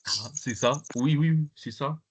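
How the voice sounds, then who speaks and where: A man from the Comoros whose first language is Arabic, teaching an online class in a halting way, with many fillers and repeated words. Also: mid-range voice, noisy background. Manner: repetitive, halting, full of fillers. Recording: dull lo-fi online call audio.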